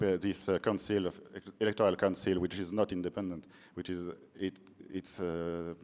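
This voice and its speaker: A man interpreting on a conference panel, halting, with many restarts and fillers. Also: deep voice, a little mellow. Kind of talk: consecutive interpreting. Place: large conference hall. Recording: long hall reverb.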